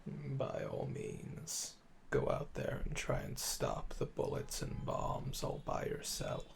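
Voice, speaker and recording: A man acting a calm, flirtatious yandere assassin in a roleplay audio, with a gravelly voice that drops to breathy murmurs and slow, teasing, menacing delivery. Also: mid-range voice, slight background noise, heavily acted. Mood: smug, insistent. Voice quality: gravelly, fry-laden, often dropping to breathy murmur. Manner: slow, teasing, seductive, drawling pet names.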